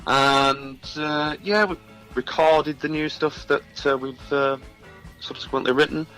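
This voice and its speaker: A man's voice: on the high side, fairly even intonation, a little slow, bright, forceful tone, faint music behind, rough recording. A man being interviewed as a musician, chatting casually and good-humouredly in a rambling way that circles back over phrases.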